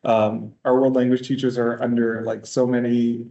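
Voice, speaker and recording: A man with a mellow, mid-pitched voice presents curriculum materials at a school board workshop. He talks in a relaxed, conversational way, with frequent fillers. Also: moderate pace, creaky, dull audio. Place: meeting room.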